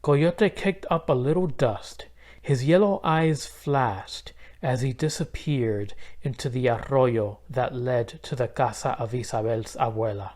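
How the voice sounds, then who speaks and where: A man reading a children's storybook aloud as an expressive narrator. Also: medium pitch. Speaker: storybook reader.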